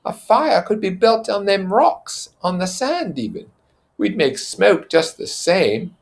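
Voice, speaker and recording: A man's voice: high voice, sing-song, takes it slowly, voice pushed up, exaggerated voice. A man voicing a troubled schoolboy leader who speaks in short, plain statements in a storybook read-aloud. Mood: resolute. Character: Ralph, troubled schoolboy leader trying to organize. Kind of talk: character voice in novel read-aloud.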